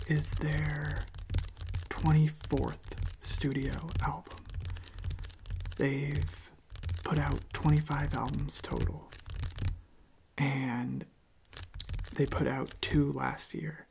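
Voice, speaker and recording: A young adult ASMR host speaking in a soft, gentle whisper, halting and repeating words, close to the microphone. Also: mid-range voice, creaky, slight background noise.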